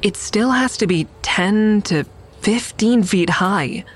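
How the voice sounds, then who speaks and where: A woman's voice: mid-range voice, takes it slowly, slightly rough. An actress playing a curious young woman who narrates her story in an intimate, wry way in an audio drama. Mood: intimate narration. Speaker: narrator and lead character. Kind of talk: audio drama first-person narration and natural dialogue. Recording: faint music behind the voice.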